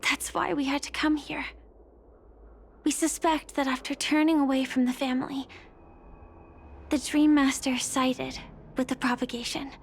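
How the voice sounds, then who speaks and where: An actress playing a polite, earnest young investigator in scripted game dialogue, measured and courteous. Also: female voice, sits high, little pitch movement, a little hiss or hum.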